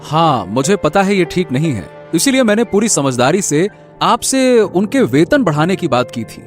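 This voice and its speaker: A man's voice: on the high side, sing-song, normal speed, soft background music. A man playing a respectful factory manager in a cartoon story, explaining things deferentially to his boss. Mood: concerned. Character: deferential, trusted male factory manager and devoted father.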